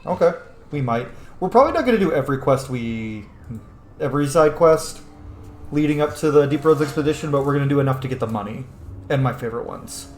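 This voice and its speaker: A man's voice: fairly high pitch, lively intonation, fast talker. A man chatting informally and with some swearing as he gives casual commentary on a game he is playing. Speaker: gameplay host, role-playing commentator. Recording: roomy echo, faint noise.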